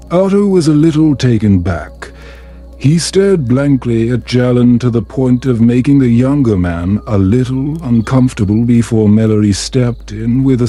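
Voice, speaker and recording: A man calmly reading a scripted fantasy bedtime story in a soothing, unhurried manner. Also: mid-range voice. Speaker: storyteller, narrator.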